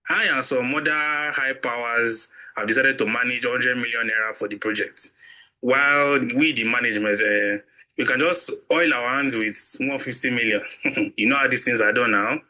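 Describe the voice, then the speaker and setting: A man playing a corrupt, menacing executive boss in an audio drama, smooth-talking and overbearing, with a Nigerian accent. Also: medium pitch, normal speed, bright, forceful tone, low-quality recording. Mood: sly, persuasive. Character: corrupt, menacing male executive boss pressuring his accountant.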